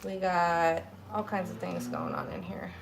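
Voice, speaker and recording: A woman with a gravelly, raspy voice talks through her cooking in a home kitchen, a little under the weather. She takes her time, rambling casually from one step to the next. The recording carries clear background noise from the room. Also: lowish voice, huge pitch swings, a little slow. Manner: chatty, rambling, narrates each step while cooking. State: a little sick. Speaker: cooking livestream host. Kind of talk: casual cooking livestream chat.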